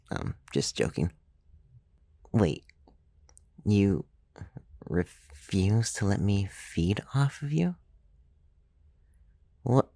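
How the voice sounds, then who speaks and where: Man as a creaky, soft-spoken ASMR vampire. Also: fairly low pitch, dry recording, exaggerated voice. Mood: teasing, hungry, then pleading. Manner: hesitant, intimate, playfully teasing. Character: clumsy, gentle, centuries-old male vampire, teasing and coaxing. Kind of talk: ASMR roleplay, soft-spoken with whispered stretches. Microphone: close, dry microphone.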